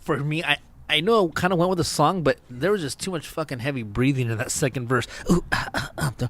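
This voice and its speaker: A man's voice: a little high, quick pace, a bit bright. A man hosting a casual panel review of rap songs, loose and swearing, laughing often. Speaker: show host.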